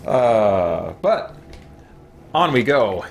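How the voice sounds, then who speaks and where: A man's voice: on the low side, sing-song, very slow, gravelly, slight background noise. A middle-aged man doing casual live gameplay commentary, chatting and thinking aloud as he streams from a small home office. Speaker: game streamer. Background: game music.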